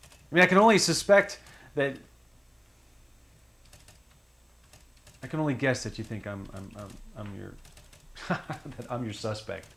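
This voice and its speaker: An actor playing an evasive, defensive son under police questioning, halting and defiant, in a naturalistic film drama. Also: male voice, mid-range voice, creaky, a bit bright. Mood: agitated, incredulous.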